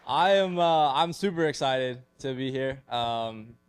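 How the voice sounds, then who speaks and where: A young man from Canada speaks from a convention stage in a casual, upbeat way. His pitch sits in an ordinary middle range, and he leans on easy fillers as he explains his ideas. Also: animated, a little slow, clean tone. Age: 20s. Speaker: conference speaker. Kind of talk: casual conference presentation.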